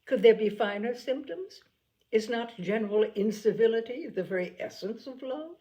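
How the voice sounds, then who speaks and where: A witty, lively young woman from a classic storybook reading, quick with irony and dry humour, as a woman reader plays her. She speaks with clear spirit. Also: on the high side, close to an everyday voice, rough recording. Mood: ironic. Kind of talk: audiobook character voice.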